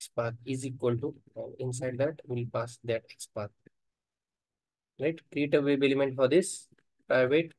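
A man teaching software testing in a screen-recorded coding tutorial, talking haltingly and thinking aloud while he types, with frequent fillers. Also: mid-range voice, steady tone, no echo, rough recording.

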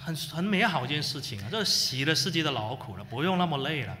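A man preaches emphatically in a bright, forceful voice pitched on the high side. He speaks quickly, in short exhorting phrases that leave room for an interpreter. The space around him is a reverberant hall. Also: big pitch swings. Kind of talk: sermon delivered through an interpreter.

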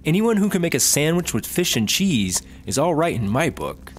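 A man's voice: fairly high pitch, sing-song, moderate pace, a little hiss or hum. A man playing a naive, easygoing and rather dim cop sidekick in a comedy audio drama. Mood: pleased, naive.

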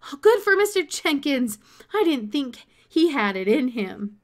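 An excitable, earnest storybook boy, acted out by a woman reader. The voice jumps high and exclaims with open eagerness. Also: measured pace. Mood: thrilled.

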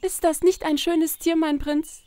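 High-voiced, kind young princess. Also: female voice, steady tone, unhurried, faint music behind. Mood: fond. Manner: courteous, gentle. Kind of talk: fairy tale cartoon dialogue.

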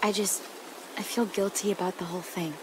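A woman playing a guarded, guilt-ridden teenage girl survivor in a video game, subdued, halting and sardonic. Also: mid-range voice, fairly even intonation, background noise, close to an everyday voice. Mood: guilty, regretful. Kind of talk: naturalistic video game drama acting. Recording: clear outdoor nature ambience behind.

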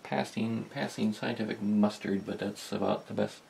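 A man giving a casual hands-on demonstration, talking in a halting, rambling, self-deprecating way. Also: fairly low pitch, steady tone, gravelly, darkish tone, a little hiss or hum. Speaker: host of a vintage electronics video. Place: indoor electronics workbench.

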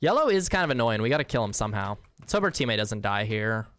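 A man's voice: on the low side, very animated, quick pace, fairly bright tone. Chatty young man streaming a game. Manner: chatty, slangy, swears casually. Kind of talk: casual game livestream, reading chat.

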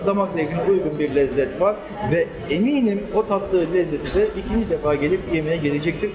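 Eager restaurant owner, echoey busy room. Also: male voice, fairly high pitch, brisk, a crowd in the background. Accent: Istanbul Turkish. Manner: earnest, enthusiastic, hesitant fillers. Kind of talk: promotional interview.

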